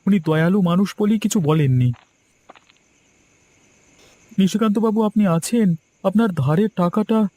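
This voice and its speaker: A man's voice: high voice, a little slow, pauses often, dark tone, no echo. A man playing a nervous, honest villager muttering to himself in a horror cartoon. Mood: earnest. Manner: talks to self, jittery. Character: nervous, honest villager, easily spooked eavesdropper. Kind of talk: cartoon horror drama acting.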